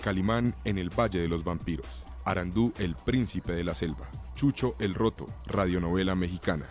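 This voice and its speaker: A man's voice: low-pitched, normal speed. A man reading a scripted radio announcement and credits. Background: loud music.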